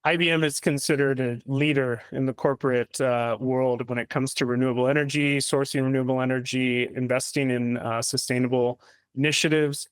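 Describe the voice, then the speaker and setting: Podcast host, man in his thirties, polished scripted delivery, mid pitch, dry home office. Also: fairly even intonation, a little fast, smooth, no echo. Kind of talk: scripted interview questions.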